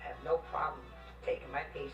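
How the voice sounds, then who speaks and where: An actor playing a defiant, brooding tough man in a TV drama with a gravelly voice. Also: a little high, level tone, fast talker, fairly bright tone, dull audio, close to an everyday voice. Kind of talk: TV drama acting. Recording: roomy TV playback with music.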